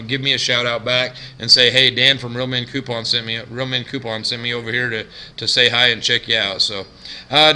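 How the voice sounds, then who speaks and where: A man hosting a casual couponing vlog, talking in a droning, monotone way with lots of fillers and restarts. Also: slight background noise. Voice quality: bassy. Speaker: couponing YouTuber. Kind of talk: casual vlog shout-outs.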